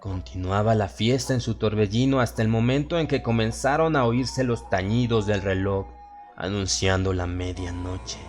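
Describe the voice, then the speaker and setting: Deep-voiced man narrating horror over music. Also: steady tone, darkish tone. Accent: Latin American Spanish. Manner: solemn, theatrical, with dramatic pauses. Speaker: audiobook narrator. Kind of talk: dramatized horror story narration. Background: music and sound effects.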